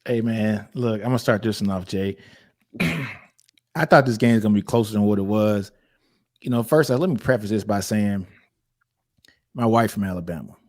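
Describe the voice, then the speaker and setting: A man from Louisiana, co-hosting a casual sports podcast in a relaxed storytelling way. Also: fairly low pitch, moderate pace, long pauses. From Louisiana, USA. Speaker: podcast co-host.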